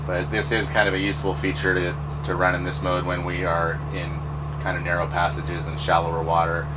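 A man giving a relaxed, practical walkthrough of his boat's helm electronics, talking casually with fillers. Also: low-pitched, brisk, very noisy. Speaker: boat owner giving a tour. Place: pilot house of a trawler yacht underway.

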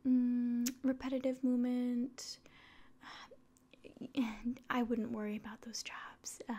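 Soft, slow, high-pitched ASMR woman. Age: 20s. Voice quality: soft, breathy, often whispering. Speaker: ASMR performer. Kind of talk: ASMR roleplay as an alien doctor giving an exam. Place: small quiet room indoors.

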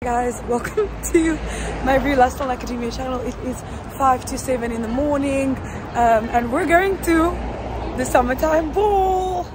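A young woman chatting casually to camera as a vlogger, excitable and given to exclaiming. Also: high-pitched, normal speed. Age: young adult. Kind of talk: casual vlog chat to camera. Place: outdoors in busy city streets and stadium. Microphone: phone at arm's length. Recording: loud crowd and music behind.

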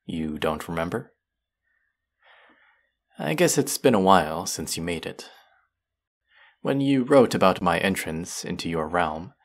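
A man's voice: low-pitched, full character voice. A man voicing a smug, taunting young fantasy villain who menaces his captive in a theatrical roleplay audio monologue.

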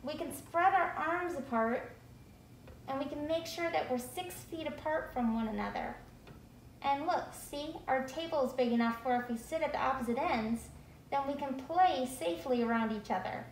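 A woman's voice: sits high, normal speed. A woman voicing an eager, cheerful young child puppet in a children's puppet show, exclaiming in a childlike way. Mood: happy, earnest. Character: excited, cheerful young child puppet going back to preschool. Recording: echoey room, faint background noise.

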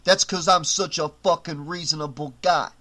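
A man acts out a bullying hotel supervisor who snarls threats at his staff. The voice sits high and hard-edged, and every line is pure contempt. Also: steady tone, normal speed, pushed and bright. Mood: menacing. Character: menacing brutish male hotel supervisor, bullying.

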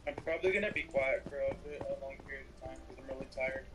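A man talking casually and haltingly as a player in a multiplayer game. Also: medium pitch. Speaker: player in a Minecraft multiplayer roleplay. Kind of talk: casual gameplay chat. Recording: faintly noisy home game recording.

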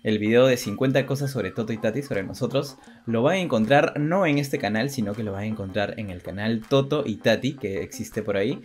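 A man's voice: medium pitch, brisk, few pauses, a little mellow. A young man co-hosting a channel, talking in casual unscripted chat. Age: young adult. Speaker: co-host of a two-person channel. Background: background music.

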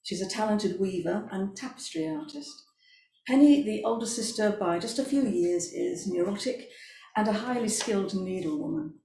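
A woman's voice: mid-range voice, moderate pace, creaky, mellow. An older British woman, a playwright, giving a hesitant prepared speech in a formal parlour. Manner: hesitant, unpractised. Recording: echoey room, faint noise.

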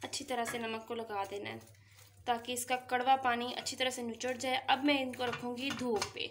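A woman's voice: fairly high pitch, level tone, a little fast, a little hiss or hum. Woman walking viewers through a recipe. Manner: instructive, addresses viewers directly. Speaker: cooking channel host. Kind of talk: step-by-step recipe narration. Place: home kitchen at the stove.

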